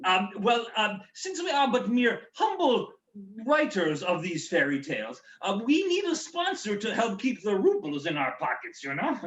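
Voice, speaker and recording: A man acts out a bumbling German storyteller, eager and a little tipsy, for a comic stage show. The voice is high and lively, stammering apologetically, with room echo around it. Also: normal speed, exaggerated voice. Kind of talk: comedic stage patter and rhymed storytelling. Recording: roomy, echoey home recording.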